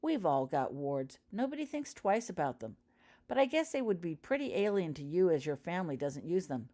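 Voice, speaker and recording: A woman's voice: on the low side, big pitch swings, normal speed, mellow, pitched above the performer's own voice. A woman voicing an earnest, friendly schoolboy wizard in a storybook reading. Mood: reassuring.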